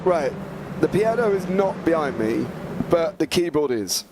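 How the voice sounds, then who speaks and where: Man, croaky from flu, slightly high voice, excited race commentary, noisy background. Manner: chatty, quick to joke, excited play-by-play. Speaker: sim racing commentator.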